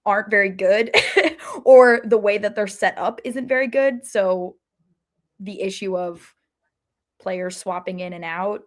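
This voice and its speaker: A woman's voice: mid-range voice, a little slow. A woman co-hosting a soccer podcast in a casual sports chat, hedging and thinking aloud as she goes.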